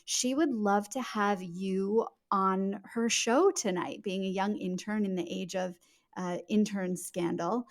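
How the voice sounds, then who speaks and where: A woman's voice: mid-range voice, measured pace. A middle-aged woman speaking as a guest author in a podcast interview, explaining things in an emphatic, conversational way.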